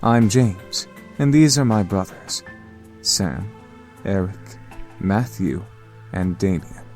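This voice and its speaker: A man's voice: sits low, expressive, slow pace, a little mellow, full character voice. A man playing a composed male incubus in a visual novel game, speaking with polite, precise authority. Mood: composed, formal. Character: composed, formal eldest-brother type male incubus. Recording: music behind the voice.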